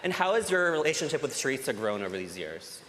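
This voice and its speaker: A man's voice: mid-range voice, sing-song, fast, fairly bright tone, slight background noise. A man moderating a live panel, casually putting questions to the guests. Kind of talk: casual interviewing. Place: convention panel stage, indoors. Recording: reverberant hall with clear crowd.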